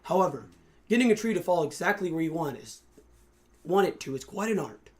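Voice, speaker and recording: A hoarse young man voicing an old woodcutter by reading his game dialogue aloud in a plain, everyday voice. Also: a little high, very animated, fairly quick, a little mellow. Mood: explaining.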